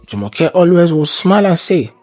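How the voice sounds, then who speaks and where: A man narrating a scripted folktale in a measured, formal storytelling way. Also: a little high, very animated, darkish tone, no echo, faint music behind.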